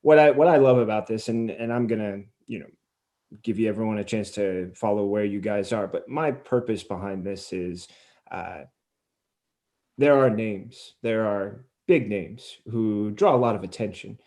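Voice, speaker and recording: A man's voice: lowish voice, moderate pace, mellow. A man hosting a conversational interview, speaking in an earnest, self-effacing way. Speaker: interview host.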